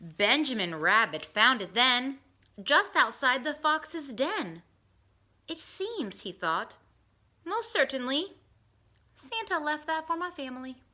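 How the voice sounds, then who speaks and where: A middle-aged woman reads a rhyming children's book aloud at an unhurried pace. Her voice is bright and a little high, and it swoops up and down in a sing-song way as she changes voices for the characters. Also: very animated. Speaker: teacher reading a picture book aloud. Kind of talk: storybook read-aloud for children.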